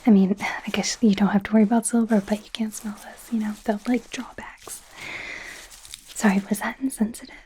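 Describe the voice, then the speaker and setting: A woman voicing a young werewolf medical student in an ASMR roleplay, in a soft, breathy whisper with a hesitant, rambling, self-conscious manner. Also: mid-range voice, steady tone, fairly quick, a little hiss or hum, close to an everyday voice, poor audio. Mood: awkward, curious. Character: young female werewolf medical student, awkward, eager, kind.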